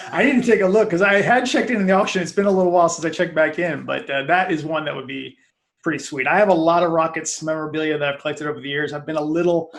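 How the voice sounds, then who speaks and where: A man speaking as a guest in a casual sports discussion, in a measured, hesitant way. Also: fairly high pitch, vocal fry. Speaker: fan site founder guest.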